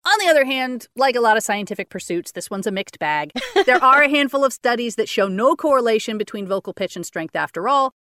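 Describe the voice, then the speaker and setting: A woman with a fairly low voice for a woman, co-hosting a chatty talk show, lively and often laughing. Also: a little fast, few pauses, no echo, studio quality. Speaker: podcast co-host. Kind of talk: casual chat presenting a topic.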